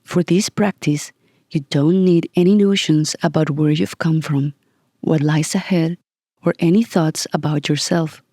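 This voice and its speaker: Mellow, soothing meditation guide, very dry sound. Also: mid-range voice, dry recording. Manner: calm, soothing, gentle delivery with long pauses.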